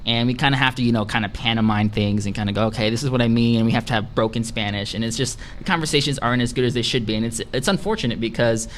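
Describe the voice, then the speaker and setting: A man's voice: medium pitch, rapid-fire, few pauses. A young man on a student panel, speaking reflectively and with some hesitation. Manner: hesitant, reflective, many fillers. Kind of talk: casual panel discussion. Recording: faint background noise.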